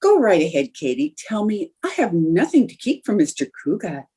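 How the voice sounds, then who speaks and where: A woman playing a scheming, excitable young society housewife in a theatrical radio sitcom style. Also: mid-range voice, normal speed, slightly rough. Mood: sweetly wheedling. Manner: coaxing, theatrical. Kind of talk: old-time radio sitcom acting.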